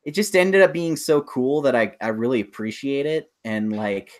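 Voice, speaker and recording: A man's voice: medium pitch, lively intonation, moderate pace. A man co-hosting a podcast, chatting casually and explaining things in a rambling, enthusiastic way. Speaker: podcast co-host. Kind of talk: casual chat about films and games.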